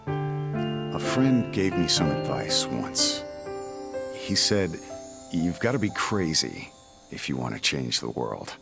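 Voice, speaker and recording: Gruff gravelly game hero, slow and broody, music behind him. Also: male voice, extremely low pitch, sing-song, heavily acted. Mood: solemn, tender. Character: brooding, gruff male wandering warrior. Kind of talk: video game cutscene acting.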